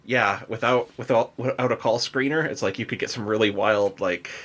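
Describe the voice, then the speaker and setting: A man's voice: fairly low pitch, normal speed, a bit bright. A man hosting a podcast, chatting casually in a loose, informal way peppered with "like" and "you know". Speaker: podcast host. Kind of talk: casual chat.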